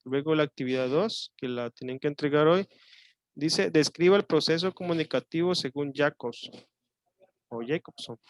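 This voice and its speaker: A man teaching an online class in coastal Mexican Spanish, his voice sitting a bit high for a man. He explains patiently, thinking aloud with little fillers and pauses. The sound is dry and close, with no room around it. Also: dry recording.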